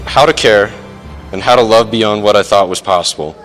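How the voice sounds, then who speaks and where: A young man with a fairly low voice gives a prepared but informal best man speech. His delivery is halting and dryly self-deprecating, with faint music in the background. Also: a bit bright, rough recording. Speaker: best man, groom's older brother. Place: wedding reception, speaking to guests.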